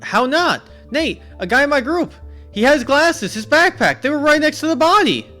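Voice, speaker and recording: A man voicing a panicked young male student who stumbles over his words while shouting that he saw a murder. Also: medium pitch, animated, normal speed, faint music behind, lightly acted. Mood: frantic.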